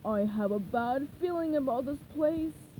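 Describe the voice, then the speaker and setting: A woman voicing a gruff, bossy older male crab in a storybook reading, barking orders. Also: sits high, animated, a little slow, slight background noise, poor audio. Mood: commanding. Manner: gruff, commanding, shouting orders.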